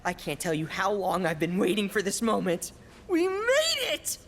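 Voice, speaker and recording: A woman playing an eager, bubbly young racing fan in a game cutscene, gushing and excitable. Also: medium pitch, normal speed. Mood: thrilled.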